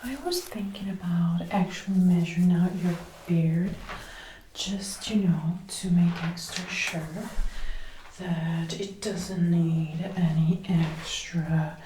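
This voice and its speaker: A woman doing a soft-spoken ASMR roleplay, often whispering, gently and methodically narrating each step close to a binaural microphone. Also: on the low side, slow. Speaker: ASMR creator. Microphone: close binaural microphone, ear to ear. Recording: roomy close-up binaural, some noise.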